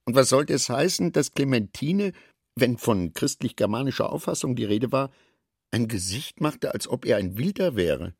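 A man narrates a literary radio play from a script. His voice is husky and gravelly, recorded close and dry. He reads long sentences in a measured way, with lively, expressive intonation. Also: mid-range voice, no echo.

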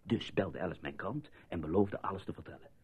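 A man's voice: deep voice, lively intonation, fairly quick, gravelly, darkish tone, breathy edge, exaggerated voice. An actor playing an urgent, emphatic male newspaper journalist in a Dutch radio play. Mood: explanatory. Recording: muffled phone-like old radio recording.

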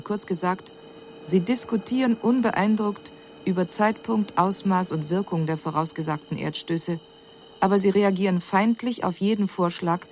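An actress playing a female alien emissary, giving a measured, formal and precise report in a radio drama. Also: on the low side, darkish tone, slight background noise, lightly acted. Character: female alien emissary, cool observer puzzled by human inaction.

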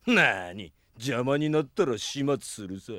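A man playing a swaggering, scheming bandit chief in a Japanese radio drama, with a rough way of talking. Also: medium pitch, expressive, a little slow, a bit bright, no room sound. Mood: gloating, scheming.

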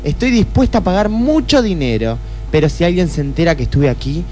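A man's voice: fairly high pitch, lively intonation, fairly quick, mellow, close to an everyday voice. A man playing a haughty, imperious wealthy widow with an Argentine accent, affected and indignant, in a comic radio play. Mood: haughty, threatening. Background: music.

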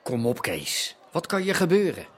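An actor playing a pushy, cocky underworld wheeler-dealer in a radio drama, talking persuasively. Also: male voice, medium pitch, huge pitch swings, normal speed, husky. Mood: pushy, eager. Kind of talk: naturalistic radio drama acting.

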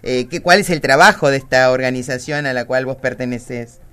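A woman's voice: rumbling low, moderate pace, a bit bright, clear, resonant tone. A woman with a Latin American Spanish accent asking interview questions in a conversational, tentative way. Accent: Latin American Spanish, voseo.

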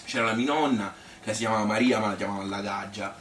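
A man's voice: lowish voice, fairly even intonation, fast talker, fairly bright tone, reverberant, distant mic, slight background noise, close to an everyday voice. An actor playing an elderly Tuscan grandmother who reminisces in a rambling, repetitive, colloquial way, as a theatrical monologue. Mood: reminiscing. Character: elderly Tuscan grandmother Annunziata reminiscing about her hard childhood. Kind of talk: theatrical monologue, spontaneous spoken storytelling.

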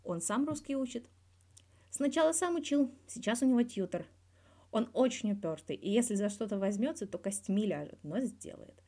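A woman's voice: a little high, lively intonation, moderate pace, mellow. A woman voicing a guarded male hockey star in his thirties, talking candidly and wryly in a scripted interview from a fan fiction audio reading. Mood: fond. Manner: candid, wry, colloquial with swearing.

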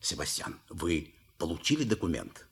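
An actor playing a pompous, impatient gentleman in a theatrical audio play, sarcastic and businesslike. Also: low-pitched, huge pitch swings, moderate pace, creaky, husky, full character voice. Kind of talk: theatrical radio play acting.

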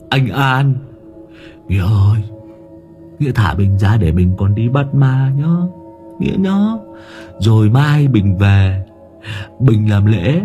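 A man's voice: medium pitch, dark tone. A man voicing a mentally disturbed young man in an audio horror story, pleading childishly and repetitively. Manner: repetitive, childlike begging in third person.

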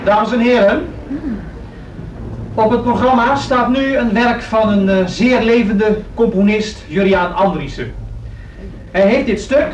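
An actor playing a formal, halting concert announcer addressing a hall in a radio drama. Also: male voice, sits high. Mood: formal, deliberate. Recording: echoey hall, distant, faint noise.

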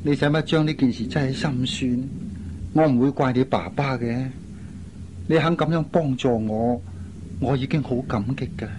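A man's voice: medium pitch, moderate pace, lightly acted. A man acts a young, lovelorn Cantonese man in a radio drama. His mid-range voice is restrained and earnest, shy and honest, over faint recording noise. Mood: polite, subdued. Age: young adult.

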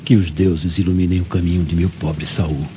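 A man's voice: sits low, steady tone, moderate pace, lightly acted. An actor playing an anguished, vengeful older father with solemn, pleading delivery in a radio drama. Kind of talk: dubbed radio drama acting. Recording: muffled, noisy with rain and thunder.

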